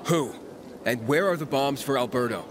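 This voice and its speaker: An actor playing a gruff, wary wandering mercenary in a video game, terse and blunt. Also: male voice, medium pitch, lively intonation, moderate pace, background noise, lightly acted. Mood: grim, urgent. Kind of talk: video game character acting.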